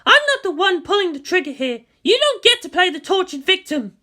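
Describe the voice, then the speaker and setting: A woman's voice: extremely high pitch, big pitch swings, a little fast, bright, forceful tone, pitched above the performer's own voice, exaggerated voice. A woman voicing a fierce, defiant female military commander in a dramatic story, intense and emphatic. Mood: defiant, tense.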